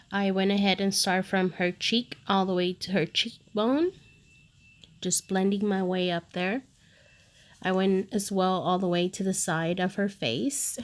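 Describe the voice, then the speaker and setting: Casual makeup-artist woman, 30s, stuffy nose from a cold, friendly step-by-step voice-over. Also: medium pitch. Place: home studio, recorded separately as voice-over.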